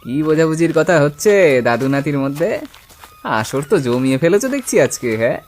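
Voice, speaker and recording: A man's voice: fairly high pitch, animated, a little fast, darkish tone, faint music behind, natural voice. A man playing a chatty, familiar village visitor in a cartoon story, with a colloquial, teasing, inquisitive manner. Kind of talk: Bengali cartoon story acting.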